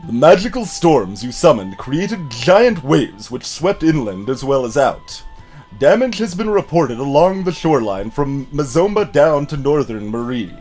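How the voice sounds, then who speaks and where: A man live-streaming a game with playful, animated delivery, chatting casually and reading the game text aloud. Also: mid-range voice. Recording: music behind the voice. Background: game music.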